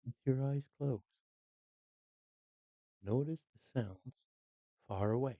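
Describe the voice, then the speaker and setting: Slow-paced meditation guide, slightly muffled. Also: medium pitch, no echo. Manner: calm, unhurried, short phrases with long pauses.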